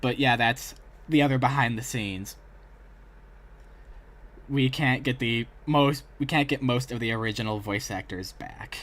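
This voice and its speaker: A man's voice: medium pitch, a little slow, a bit bright. A young man who is a streamer, chatting casually and at rambling length as he reacts to videos for his live viewers. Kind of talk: casual livestream chat and reaction.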